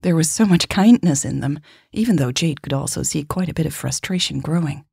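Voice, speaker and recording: A woman's voice: deep voice, animated, fairly quick, creaky, darkish tone, slightly rough, dry recording, studio quality. A woman hosting a podcast and reading scripted fiction as its narrator. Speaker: podcast host and author narrating her story.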